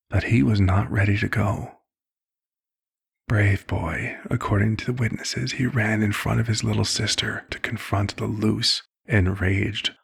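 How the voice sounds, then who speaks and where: Casting: male actor as aged vampire physician for ASMR roleplay; kindly, formal, fatherly, heavy vocal fry, even intonation. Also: lowish voice, full character voice. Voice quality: husky, gravelly. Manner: measured, slightly formal, old-fashioned phrasing. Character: old, compassionate male vampire doctor, gently paternal and measured.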